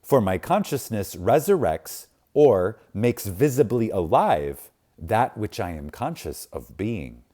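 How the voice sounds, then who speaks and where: A man reading a spiritual book aloud in a solemn, reverent manner, with deliberate pauses and emphasis. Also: lowish voice, big pitch swings, dark tone. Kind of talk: reverent scripted reading, audiobook-like.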